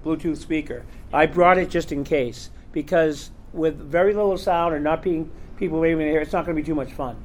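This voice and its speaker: A man's voice: on the high side, fairly even intonation, fairly quick. A man hosting a convention panel, talking casually and rambling with lots of fillers. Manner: rambling, chatty, full of fillers. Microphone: recorder in the room.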